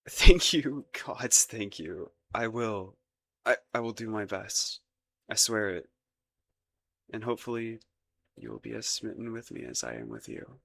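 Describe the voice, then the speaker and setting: A man's voice: lowish voice, creaky, a bit bright, full character voice. A man acting a shy, lovestruck young crown prince in a romantic roleplay audio, hesitant and stammering, with formal courtly phrasing. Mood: grateful, elated.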